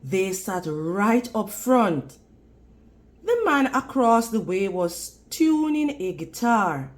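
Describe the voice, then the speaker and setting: A woman reading a children's storybook aloud like a teacher, deliberate and instructional toward young listeners. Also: medium pitch, sing-song. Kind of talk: children's storybook read-aloud with prompting questions.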